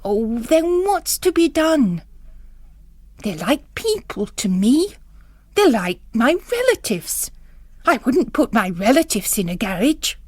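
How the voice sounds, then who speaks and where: A woman's voice: fairly high pitch, very animated, slow pace, dry recording, exaggerated voice. A woman voicing a pious, anxious, devoted female housekeeper, earnest and fretful, in a literary drama.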